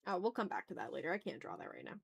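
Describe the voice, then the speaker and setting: A woman's voice: lowish voice, sing-song, racing, gravelly, husky. A woman chatting casually as an art livestream host, informal and thinking aloud as she draws. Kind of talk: casual livestream chat while drawing.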